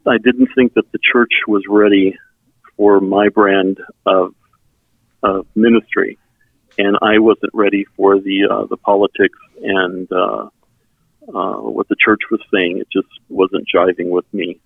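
A middle-aged man from South Texas whose first language is German, telling his personal story in an earnest, halting way as an interview guest. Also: lowish voice, level tone, creaky, dry recording. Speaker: interview guest, member services volunteer. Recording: tinny phone-like sound, band-limited.

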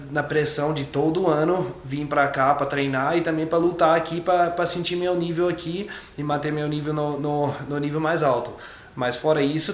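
A man's voice: on the high side, slight background noise. Chatty man, echoey room. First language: English. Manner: loose and chatty, repeats and restarts words. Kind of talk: relaxed interview chat.

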